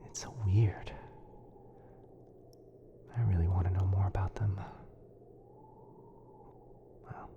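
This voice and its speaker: A man softly whispering an ASMR boyfriend roleplay as a kind, caring wizard, in a soothing, hushed manner. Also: low-pitched, level tone, slow pace, gravelly, pauses often, muffled recording, noisy background. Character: kind, caring, smitten young male wizard.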